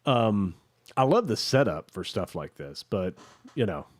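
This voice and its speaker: A man co-hosting a podcast in casual chat, rambling and halting as he searches for words. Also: on the low side, very animated, a little mellow. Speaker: podcast co-host.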